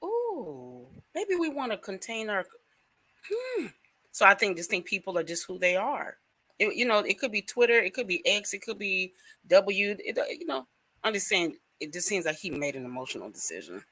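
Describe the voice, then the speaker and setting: A woman on a casual talk show who tells stories in an animated way, acting out quotes and dropping into whispers. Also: mid-range voice, normal speed. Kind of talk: casual chat.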